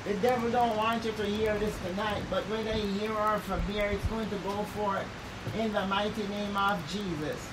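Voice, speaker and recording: Raspy woman, fervent prayer, speaking in tongues, distant mic, noisy background. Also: mid-range voice, steady tone, normal speed. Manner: intense, repetitive prayer, breaks off to check audio. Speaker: prophetess leading prayer. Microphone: phone or earpiece mic.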